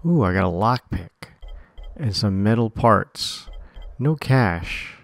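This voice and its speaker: A man talks slowly and lowly through a let's-play, musing and cracking jokes. Game music sits clearly behind his voice. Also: animated, no echo. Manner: slow, musing, jokey asides. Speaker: game streamer. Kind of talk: casual let's-play commentary.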